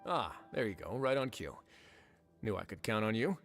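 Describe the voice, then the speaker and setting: An actor playing a composed noble duke who speaks in a calm, measured way. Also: male voice, fairly low pitch, gravelly, exaggerated voice. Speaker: duke in charge. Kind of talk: video game dialogue. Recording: faint game music behind.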